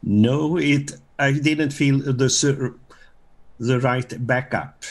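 An older man in his seventies answers questions on a group call. He talks at an unhurried, deliberate pace, stopping and restarting his sentences often. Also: medium pitch. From Sweden. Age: 70s. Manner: halting, with many pauses and restarts. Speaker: course member giving a testimonial.

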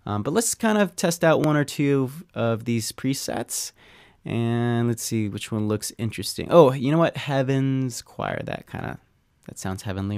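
A man talking through a software tutorial as an audio engineer, in an easygoing, conversational way with informal asides. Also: mid-range voice, no room sound, clean studio sound. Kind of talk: casual software tutorial talk.

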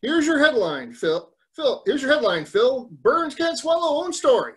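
Woman as a high-pitched excitable reporter. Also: normal speed. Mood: urgent. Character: brisk, excitable news reporter. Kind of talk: amateur cartoon table read. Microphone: video call.

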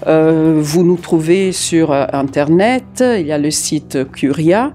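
A middle-aged woman with an Italian accent, an interpreter answering interview questions with many hesitant filler pauses, seated in an office. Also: deep voice, mellow, faint music behind. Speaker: court interpreter. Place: office room with large window.

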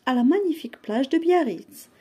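A woman teaching, reading a short text aloud and translating it phrase by phrase, slow and careful. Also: sits high, animated, mellow. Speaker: French teacher.

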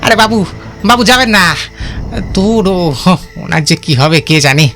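A man's voice: sits high, animated, unhurried, pushed and bright, soft background music, full character voice. A man voicing a helpful, nervous village van driver in a cartoon, deferential and hesitant, repeating his words. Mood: nervous, pleading. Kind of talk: cartoon voice acting.

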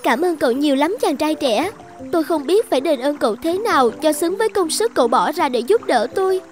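A woman's voice: high voice, expressive, brisk, soft background music. A woman voicing a small, plaintive, polite talking fish in a fairy-tale cartoon. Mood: grateful. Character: pleading, grateful little talking fish in trouble.

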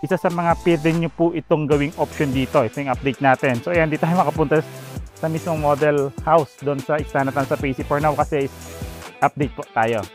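Masiglang lalaking ahente na naglilibot sa site. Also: male voice, on the high side, steady tone, brisk, faint music behind. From Philippines. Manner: upbeat salesy patter with frequent "so ayan" fillers. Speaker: real estate agent and channel host. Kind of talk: walking site-tour vlog. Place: outdoor construction site, sunny and open. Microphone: clip-on lapel mic.

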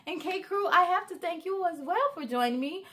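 A woman in her thirties hosts a read-aloud for kids. Her voice is high and very animated, with an expressive, sing-song way of addressing children. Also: moderate pace. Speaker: children's story time host. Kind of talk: children's read-aloud with asides to young viewers. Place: living room at home.

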